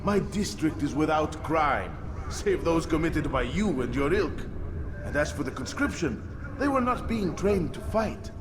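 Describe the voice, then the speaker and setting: An actor playing a proud, contemptuous, self-righteous middle-aged nobleman in a video game cutscene, haughty and measured. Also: a little high, lively intonation, noisy background. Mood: defiant.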